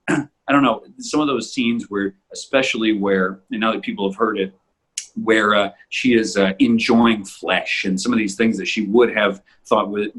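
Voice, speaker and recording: Man, low husky voice, halting delivery, repeated words, casual podcast discussion. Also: low-quality recording. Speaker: audio drama podcast co-creator. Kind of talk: casual discussion of their own work.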